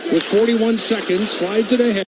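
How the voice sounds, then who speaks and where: A male radio hockey announcer with a high voice calls the action live. He speaks in clipped phrases that follow each play. Clear arena noise sits behind him. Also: steady tone, moderate pace. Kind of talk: live radio sports commentary. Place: broadcast position at a hockey arena.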